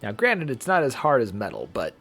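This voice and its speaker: A man's voice: mid-range voice, huge pitch swings, fairly quick, fairly bright tone, slight background noise. A man reading a visual novel aloud as a streamer, with playful, casual commentary.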